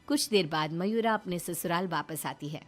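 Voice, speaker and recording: A woman's voice: lowish voice, moderate pace. A woman reading scripted narration for a moral story, with music underneath.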